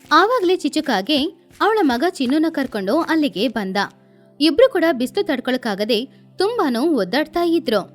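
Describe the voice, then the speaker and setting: Female narrator, very high pitch, animated intonation, scripted children's storytelling, background music. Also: moderate pace.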